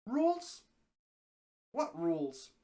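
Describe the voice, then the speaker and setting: A man's voice: high-pitched, wildly swooping pitch, slow pace, mellow, voice pushed up, exaggerated voice. A man voicing a curious, incredulous man in a dramatic story reading, questioning and hesitant.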